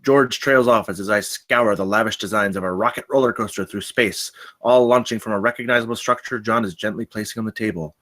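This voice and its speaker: A man's voice: on the low side, fairly quick, few pauses, a bit bright. A man narrating the scene headings and stage directions at a screenplay table read.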